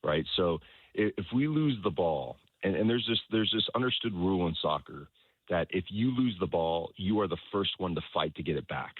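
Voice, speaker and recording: A man speaking as a soccer coach in an interview, explaining things in a halting way, repeating words and often checking in with the listener. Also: deep voice, animated, slightly rough. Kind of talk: conversational sports interview, explaining his coaching philosophy. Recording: roomy echo, dull lo-fi sound.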